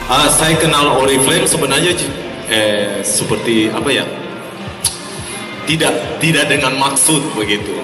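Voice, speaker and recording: Halting young man in an echoey hall. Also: medium pitch. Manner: hesitant, halting, short phrases. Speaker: guest, sales team leader. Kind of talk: stage interview, telling own story. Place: stage in a shopping mall expo hall. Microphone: handheld mic through PA, distant camera. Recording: echoey hall PA from far off, music.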